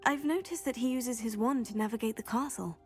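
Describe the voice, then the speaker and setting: A woman's voice: a little high, fairly quick, darkish tone, soft background music, natural voice. An actress playing a polite, bold and curious teenage witch student in a video game, with a composed, courteous manner. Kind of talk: video game dialogue acting.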